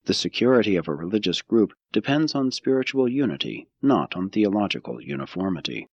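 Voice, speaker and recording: A man reading a scripted audiobook of religious philosophy in a measured, solemn, deliberate way. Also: on the low side, sing-song, slightly rough, dry recording, highs cut off. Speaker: audiobook narrator.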